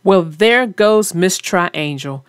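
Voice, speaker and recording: A woman's voice: fairly low pitch, lively intonation, slow, clear, resonant tone, no echo, clean studio sound. A woman hosting a children's read-aloud, narrating a storybook and chatting casually about it.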